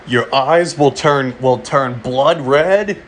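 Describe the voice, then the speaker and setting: A man delivering a mock emergency alert in a halting way, often repeating and restarting his phrases. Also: medium pitch, slight background noise. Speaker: amateur narrator of a fictional emergency broadcast. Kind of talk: mock emergency alert announcement, semi-improvised.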